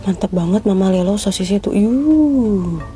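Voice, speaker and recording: A woman's voice: mid-range voice, expressive, unhurried, dark tone, faint music behind. A woman giving a casual, chatty food review as she eats. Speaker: food reviewer in short social videos. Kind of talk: casual mukbang review chat.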